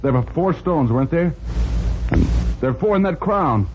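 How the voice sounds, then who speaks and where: An actor playing a cocky, wisecracking hard-boiled private detective in a 1940s radio drama, with a sardonic, slangy delivery. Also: male voice, fairly high pitch, animated, moderate pace, background noise. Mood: urgent persuading. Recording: dull vintage 1940s radio recording, music.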